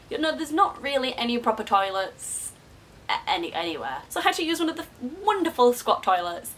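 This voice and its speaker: Young woman YouTuber, casual confessional tone, animated and self-deprecating, slightly high-pitched. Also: a little hiss or hum. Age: 20s. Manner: chatty, self-mocking, frequent swearing and big pitch jumps.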